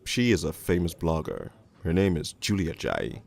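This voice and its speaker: Deep, gravelly, jaded police detective. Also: male voice, very animated, darkish tone, exaggerated voice. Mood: wry, sardonic. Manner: world-weary, deliberate, sardonic. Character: jaded, crude, cynical male police detective. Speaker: narrator and lead voice actor in an animated storybook. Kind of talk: first-person hard-boiled crime narration.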